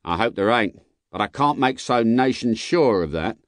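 A man voicing a gruff, suspicious armed coach guard in an audiobook, with rustic speech and a threatening, blustering manner. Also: medium pitch, expressive, moderate pace, dry recording, natural voice. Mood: grumbling. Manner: rustic speech, barking challenges. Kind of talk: dramatised audiobook character.

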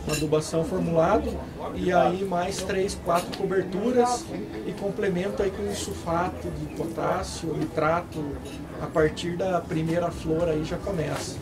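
Man, high voice, casual, quick with numbers, outdoor fair, crowd behind. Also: steady tone. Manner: quick talk full of numbers. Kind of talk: casual talk at a farm fair. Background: crowd chatter.

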